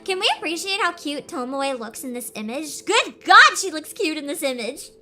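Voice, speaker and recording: A woman's voice: high-pitched, expressive, normal speed, bright, forceful tone, faint music behind. A young woman streamer commenting on a game with giggly, sarcastic chatter.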